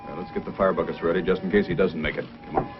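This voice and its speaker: A man acting a calm, authoritative Mountie sergeant in an old-time radio adventure, speaking firmly and deliberately. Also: low-pitched, lo-fi sound, natural voice. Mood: commanding. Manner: deliberate, firm, commanding. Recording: old band-limited radio recording, music.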